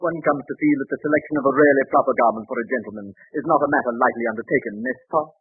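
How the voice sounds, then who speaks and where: A man's voice: medium pitch, rapid-fire, husky. An actor playing a pompous, unctuous luxury menswear salesman, with florid formal speech and French flourishes, in 1930s radio comedy style. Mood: unctuous, apologetic. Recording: muffled, scratchy 1930s radio broadcast.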